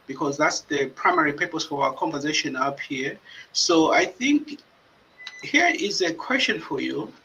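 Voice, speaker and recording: Male moderator, higher-pitched, hesitant, faint noise behind. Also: highs cut off. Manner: hesitant, frequent fillers, lively pitch swings. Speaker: panel host. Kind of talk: moderating a panel.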